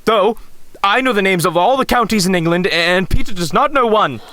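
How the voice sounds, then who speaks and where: A woman's voice: medium pitch, animated, fairly quick, fairly bright tone, natural voice. A woman playing a proper, keen, slightly pompous English schoolboy in an audio drama. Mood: curious, flustered.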